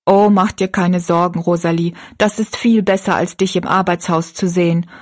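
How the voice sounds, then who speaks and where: Anxious storybook girl read by a woman, gentle, questioning, husky tone. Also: lowish voice, fairly even intonation, normal speed, natural voice. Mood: worried. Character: worried, caring young girl friend. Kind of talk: audiobook character reading.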